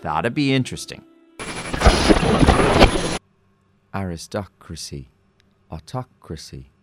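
An actor playing a naive, self-doubting condemned criminal in a naturalistic radio drama, hesitant, rambling and earnest. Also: male voice, deep voice, very animated, normal speed, music in the background. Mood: musing, curious.

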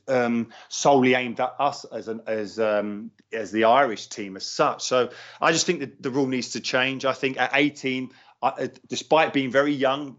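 Fast-talking middle-aged London man, firm. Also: mid-range voice, lo-fi sound. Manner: earnest, firm, frequent restarts. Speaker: former footballer, radio guest. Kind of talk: radio interview answers.

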